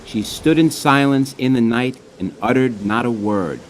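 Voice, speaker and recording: A man reads rhyming children's storybook verse as its author, in a measured, expressive way with phrase endings trailing softly. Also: medium pitch, slight background noise. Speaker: author reading own children's book. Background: music.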